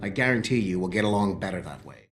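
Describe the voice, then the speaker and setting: A man's voice: sits low, animated, over music. An actor playing a condescending, prickly computer expert in a video game, drawing out his sarcastic, mocking words. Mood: irritated, haughty. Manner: sarcastic, drawn-out mocking delivery. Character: snide, condescending male computer tech expert, prickly and territorial. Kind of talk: dramatic video game acting.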